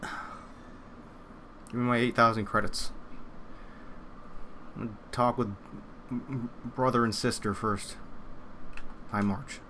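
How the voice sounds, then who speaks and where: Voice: medium pitch, steady tone, slow pace, long pauses, fairly bright tone, a little hiss or hum. A game streamer chatting casually while reacting on a livestream, foul-mouthed and joking.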